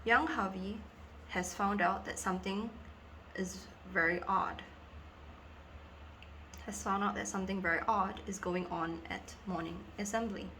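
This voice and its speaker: Slow-paced, bright-voiced woman reading aloud. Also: medium pitch, fairly even intonation, slight background noise, poor audio. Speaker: amateur actor presenting scripts. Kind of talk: reading script synopses aloud to camera.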